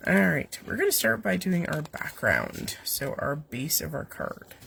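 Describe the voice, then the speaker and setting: A woman with a cold leads a chatty card-making lesson from her basement. Her voice is rough and gravelly, with a faint hiss of noise underneath. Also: sits low, big pitch swings, measured pace, a bit bright. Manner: chatty, rambling, with fillers and asides to her cat. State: has a cold, voice weak and coming and going. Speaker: host of a live card-making stream. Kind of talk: casual step-by-step craft demonstration. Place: home basement.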